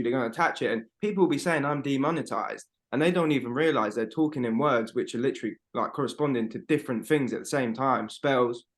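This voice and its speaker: A young man in his twenties on a video call from home. He rambles and presses his points hard, swearing often and tossing in "bro" at every turn. His voice sits at an ordinary middle pitch. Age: 20s. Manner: rambling, emphatic, swears a lot, fillers like "bro". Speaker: podcast co-host. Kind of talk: casual chat.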